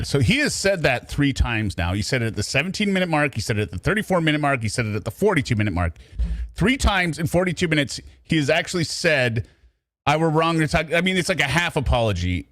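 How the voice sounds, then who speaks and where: A man hosts a casual reaction stream in a lively voice that rises and falls a lot. His commentary is chatty and teasing, with mock exasperation, and the sound is close and dry. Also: medium pitch, dry recording. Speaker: livestream host.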